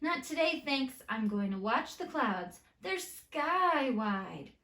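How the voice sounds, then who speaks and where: A woman's voice: high voice, sing-song, takes it slowly, sharp tone, echoey room, full character voice. A woman voicing a plucky, imaginative little girl in a storybook, with a bold, emphatic manner. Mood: calm, content.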